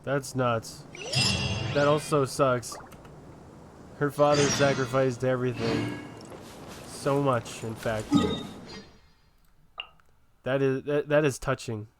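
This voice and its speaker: A man's voice: medium pitch, steady tone, slow pace, pauses often. A man giving casual, muttered reactions while streaming a game. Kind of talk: casual gameplay reaction commentary. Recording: quiet voice under game music.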